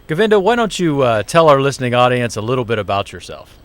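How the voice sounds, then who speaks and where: A man's voice: mid-range voice, very animated, fast talker, clear, resonant tone. A man hosting a business talk show from a university TV studio, introducing guests in an upbeat, chatty way. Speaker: show host, small business center director.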